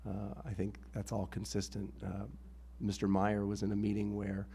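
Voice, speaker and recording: A man with a rough voice, an assistant head of school speaking into a microphone at a public hearing, explaining his case earnestly with the odd um. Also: on the low side, moderate pace. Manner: earnest, steady explanation with filler ums. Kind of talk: public meeting testimony. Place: town board hearing room. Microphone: meeting microphone.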